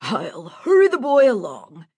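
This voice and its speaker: A woman's voice: on the high side, wildly swooping pitch, a little slow, mellow, husky, exaggerated voice. A woman voicing a big, mocking one-eyed man who taunts and threatens. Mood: taunting.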